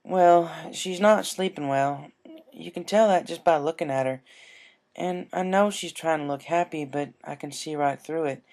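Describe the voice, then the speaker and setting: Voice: mid-range voice, moderate pace, darkish tone, pitched above the performer's own voice, close to an everyday voice. A performer voicing an adult female farm pony, stern but caring, with folksy country phrasing, in a cartoon fan story. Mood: concerned.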